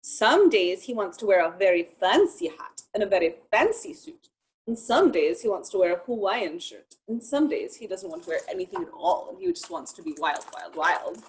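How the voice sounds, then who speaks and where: A woman reading a picture book aloud to young children in an expressive, encouraging way, talking directly to them. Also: medium pitch, moderate pace, rough recording.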